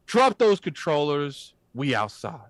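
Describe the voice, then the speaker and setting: A man co-hosting a podcast, reading out live chat messages in an animated, informal way. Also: on the high side, measured pace, no echo. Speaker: podcast co-host.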